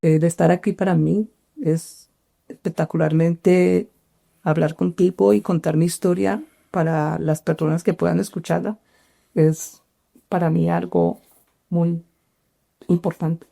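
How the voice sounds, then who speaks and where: A middle-aged woman from Cali, Colombia, with a Colombian accent, telling her life story in an interview, halting with many fillers and restarts. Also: lowish voice, fairly even intonation, creaky, dark tone. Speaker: interview guest.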